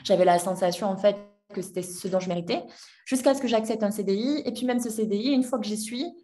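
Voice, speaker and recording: A woman's voice: medium pitch, fast talker, warm tone. A woman in her twenties telling her personal story as a podcast guest, hesitant, with many fillers and restarts. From France. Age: 20s. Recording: voice a bit distant from the mic.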